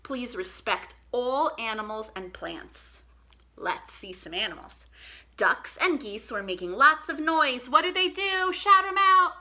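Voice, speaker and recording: A woman hosting an interactive musical storytime for children, talking in an animated, encouraging and playful way. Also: on the high side, measured pace. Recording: clear background noise.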